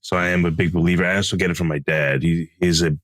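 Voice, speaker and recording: A man co-hosting a podcast, telling stories in a relaxed, deep, calm voice with lots of fillers, recorded at home. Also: fast, no echo, studio quality. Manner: relaxed storytelling with many fillers. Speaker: podcast co-host. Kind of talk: casual podcast chat. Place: home closet room.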